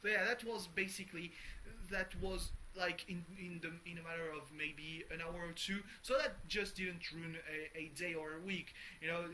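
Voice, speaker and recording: Young man, high bright voice, rambling video diary, faint hiss behind. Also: fairly even intonation, moderate pace, low-quality recording. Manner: rambling, many restarts and fillers, swears casually. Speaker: vlogger. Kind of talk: casual personal video diary.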